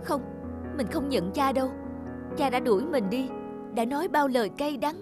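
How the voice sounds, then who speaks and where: A woman voicing an earnest, kind-hearted young woman in an animated folktale, with music behind her. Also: high-pitched, normal speed, close to an everyday voice. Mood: hurt. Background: background music.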